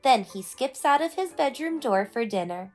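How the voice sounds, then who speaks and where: A woman's voice: fairly high pitch, expressive, normal speed. A woman narrating a children's storybook as host of a read-aloud. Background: faint music.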